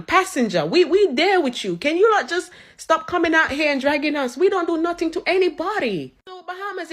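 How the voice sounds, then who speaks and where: A woman's voice: sits high, a bit bright. A woman making a slangy, pleading appeal. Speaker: social media commenter. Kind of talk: casual appeal to viewers.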